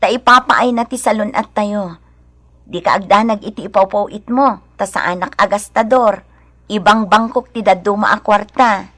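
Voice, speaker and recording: A woman's voice: mid-range voice, normal speed, fairly bright tone, close to an everyday voice. An actress playing a devout, caring, anxious young mother in an Ilocano radio drama, with tender, earnest, emotive delivery. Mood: grateful, warm.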